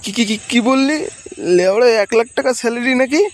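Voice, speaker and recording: A man's voice: very high voice, fairly quick, very noisy. A man voicing an irritable, foul-mouthed father who scolds crudely in a comic cartoon dub. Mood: incredulous.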